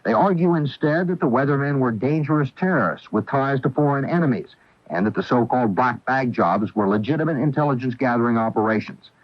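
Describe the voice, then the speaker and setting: A man's voice: medium pitch, lively intonation, a little fast, no room sound. A man delivering a scripted news report as a correspondent, formal and factual, on an old AM radio recording. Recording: dull lo-fi 1980 AM radio.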